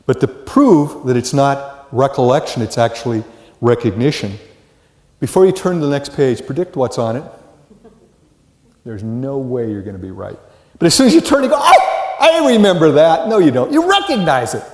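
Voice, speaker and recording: A man's voice: medium pitch, normal speed. An American man in his sixties or older giving a live lecture to students, telling stories and asking rhetorical questions. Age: 60s or older. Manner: animated storytelling, rhetorical questions, acts out brief dialogues. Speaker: college psychology lecturer. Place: large lecture hall with reverb. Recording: echoey hall, reverberant live lecture.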